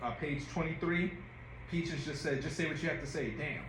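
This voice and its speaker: A man's voice: on the high side, normal speed. A man giving informal director's notes at a rehearsal, repeating phrases and demonstrating lines. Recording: roomy, faintly noisy audio.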